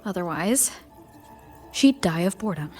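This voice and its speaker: An actress playing a calm, thoughtful wandering heroine in a video game, composed and pensive. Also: female voice, fairly low pitch, a little slow. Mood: pensive, resolute. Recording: music under the voice.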